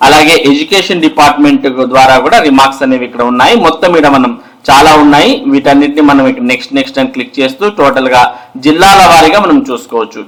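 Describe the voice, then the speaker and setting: Man, slightly high voice, instructional news host, step-by-step explanation. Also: a bit bright, poor audio. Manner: instructional, addresses viewers directly. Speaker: host of an education and job news channel. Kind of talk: explanatory news update and screen walkthrough.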